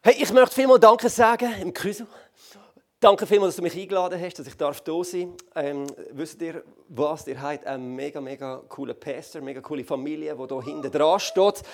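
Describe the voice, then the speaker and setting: A man in his thirties from the Basel region with a Swiss German accent, speaking as guest pastor on a church stage, lively and joking, with many fillers. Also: fairly high pitch, brisk, gravelly. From Basel region, Switzerland. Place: large church hall on stage.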